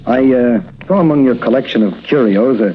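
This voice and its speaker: An actor playing a confident masked crimefighter in a 1940s radio drama, on a muffled old recording with faint hiss. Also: male voice, medium pitch, a little fast, lightly acted. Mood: assured. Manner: bold, heroic declarations.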